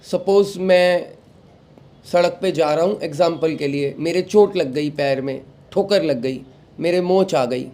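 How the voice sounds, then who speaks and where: A young man, recovering from a sore throat, hosting a devotional talk and giving calm advice to followers while mixing in English phrases. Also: a little high, a little slow. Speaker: satsang host.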